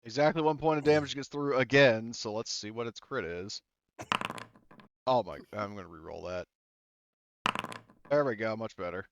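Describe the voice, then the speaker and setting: A man running a tabletop game as game master, pausing often with ums while he counts dice and tracks the turn. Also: lowish voice, muffled recording, slight background noise. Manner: halting, many ums, counts dice aloud.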